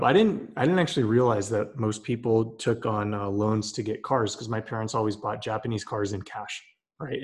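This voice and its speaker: A man hosting a casual conversation, relaxed and quick to laugh, asking probing follow-up questions. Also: medium pitch. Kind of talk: casual podcast chat.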